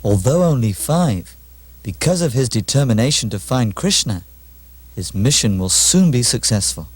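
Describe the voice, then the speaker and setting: A man playing a wise, calm sage in a puppet show, with formal, measured, instructive delivery. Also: mid-range voice, slightly rough, no room sound. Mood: reassuring, instructing.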